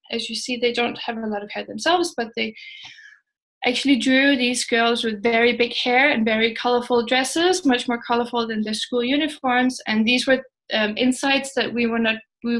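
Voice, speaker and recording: A woman with a Danish accent, a native Danish speaker, presenting informally as a startup co-founder, pausing hesitantly mid-sentence. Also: fairly high pitch, fairly bright tone, poor audio. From Denmark.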